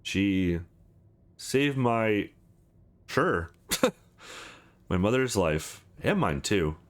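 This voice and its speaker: Man voicing a scrappy streetwise young woman, lively. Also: on the low side, very slow, full character voice. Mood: matter-of-fact.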